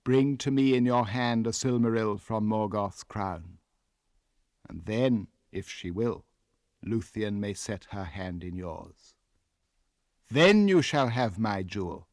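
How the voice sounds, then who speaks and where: A man with an English accent voicing a proud, scornful elven king in an audiobook, deliberate and coldly formal. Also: on the low side, animated, darkish tone, dry recording, clean studio sound. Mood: imperious, scornful.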